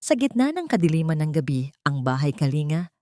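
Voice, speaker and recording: Low-voiced woman narrating a dramatic story. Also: big pitch swings, brisk, mellow, dry recording, studio quality.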